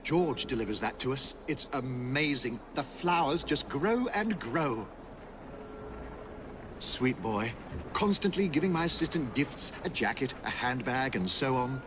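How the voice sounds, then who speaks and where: An actor playing a chatty, gossipy Victorian London shopkeeper in a video game. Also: male voice, mid-range voice, lively intonation, measured pace, natural voice. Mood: fond, delighted. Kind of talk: video game cutscene acting. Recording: clearly noisy ambience behind.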